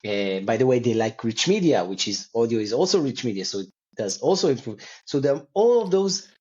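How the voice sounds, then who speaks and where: A man who speaks as a talks in a conversational interview style at a middle pitch. He halts and often repeats words and phrases as he explains. The recording is dull and lo-fi. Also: sing-song, brisk, mellow. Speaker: company co-founder and CEO, interview guest. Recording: dull, lo-fi call audio.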